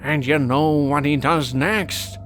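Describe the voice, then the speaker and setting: A man voicing a droll, sour cartoon squid with drawn-out, dramatic storytelling. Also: on the high side, fairly even intonation, background noise. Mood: mock spooky. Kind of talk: amateur cartoon voice acting.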